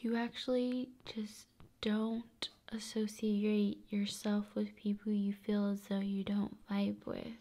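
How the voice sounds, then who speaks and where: A woman hosting an ASMR video, talking in an unhurried, hushed, conversational way that moves between soft speech and whispering. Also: mid-range voice, creaky.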